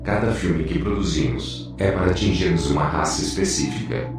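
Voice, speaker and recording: A man playing a sinister, scheming demon in a dramatized religious testimony, speaking in a menacing, deliberate way. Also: sits low, lightly acted. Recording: distant, heavily echoing, music behind.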